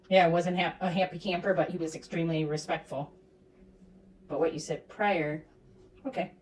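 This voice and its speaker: A woman's voice: fairly low pitch, steady tone, normal speed, long pauses, darkish tone, distant mic, slight background noise, rough recording. A woman giving critical reaction commentary in a sarcastic, disapproving manner. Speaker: commentator reacting to clips of a streamer.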